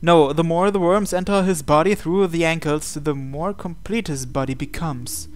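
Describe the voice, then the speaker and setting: A man hosting a game playthrough, reading visual novel text aloud and commenting on it in a measured way with pauses between phrases. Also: on the high side.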